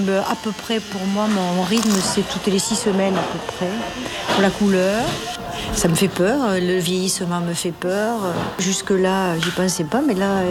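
A woman's voice: mid-range voice, very noisy. A woman in her sixties from southern France with a Midi accent, talking about herself in an interview. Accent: southern French (Midi). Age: 60s. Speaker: hair salon client being interviewed. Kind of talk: personal interview. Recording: music playing loudly behind the voice. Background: music.

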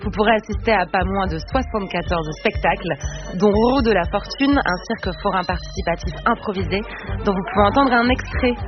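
Male radio presenter with a high-pitched voice, reading culture news and putting questions, clear music bed underneath. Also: a little fast. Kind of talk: scripted radio presentation and interview. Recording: dull radio sound over music bed.

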